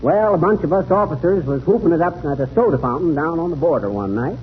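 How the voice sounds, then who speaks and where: An actor playing a cocky retired army major who spins tall tales, in folksy American 1930s radio comedy style. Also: male voice, fairly high pitch, expressive, fast talker, close to an everyday voice. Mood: boastful, folksy. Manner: folksy, boastful, ungrammatical wisecracking. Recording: noisy, tinny 1930s radio recording.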